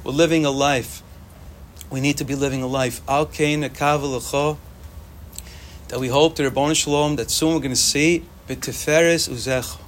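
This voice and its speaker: A young man whose first language is Yiddish, giving an informal, animated Torah talk to students and repeating phrases for emphasis. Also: high voice. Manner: animated, repetitive, sprinkles Hebrew and Yiddish terms.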